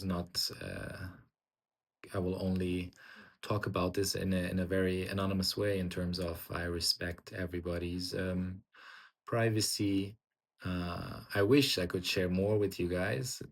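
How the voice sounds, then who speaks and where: A young man from Germany, a native German speaker, talks to his channel about teaching music in a very low voice. He often stops to restart and fills the gaps with ums and uhs. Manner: hesitant, many fillers and restarts. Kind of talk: casual vlog reflection.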